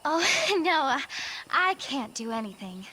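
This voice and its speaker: Devout frail young woman, bright high voice, dreamy, hesitant, rapturous, game drama. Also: big pitch swings, slight background noise, full character voice, low-quality recording. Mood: dreamy, nervous. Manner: halting, dreamy, fervently pious. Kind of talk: video game dramatic acting.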